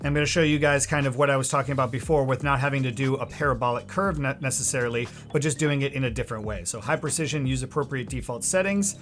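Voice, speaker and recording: A man hosting a software tutorial in an informal, joking way, talking casually to his viewers. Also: mid-range voice, quick pace, hardly any pauses, slight background noise. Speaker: host of a video editing tutorial series.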